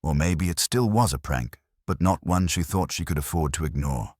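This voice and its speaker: Extremely deep-voiced man narrating detective fiction. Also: animated, normal speed, a little mellow, no room sound, studio quality. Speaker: audiobook narrator. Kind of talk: scripted first-person hardboiled detective narration.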